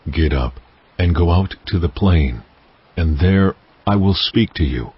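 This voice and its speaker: Stern, commanding God for scripture drama: deep and solemn, slightly muffled recording with music behind. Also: male voice, lively intonation, husky, no echo, full character voice. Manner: solemn, weighty, deliberate delivery.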